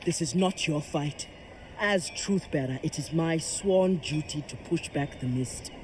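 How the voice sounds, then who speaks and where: Man voicing a slow, solemn island champion. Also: on the high side, background noise, natural voice. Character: solemn champion and truth bearer of an island people. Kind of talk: video game character acting.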